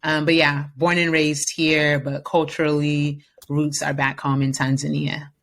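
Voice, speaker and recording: A woman's voice: low voice, level tone, a little slow, fairly bright tone. A woman in her thirties from the Bay Area, talking as a guest in a casual interview, upbeat and confident with plenty of fillers. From San Francisco Bay Area, US. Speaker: podcast guest.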